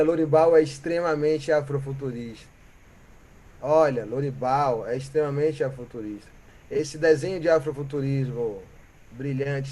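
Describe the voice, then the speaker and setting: A Brazilian man from Bahia, a musician guest, telling stories in a reflective, animated way and often ending with "né?" or "sabe?". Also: on the high side, slight background noise, low-quality recording. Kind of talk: reflective conversational storytelling.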